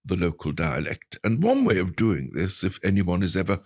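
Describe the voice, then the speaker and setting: An older man of about seventy with an English accent, telling anecdotes in a reflective, articulate and measured way as an interview guest. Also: low voice, sing-song, a little mellow. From England. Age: older, around 70. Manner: articulate, measured storytelling with precise wording. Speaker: interview guest, translator and writer.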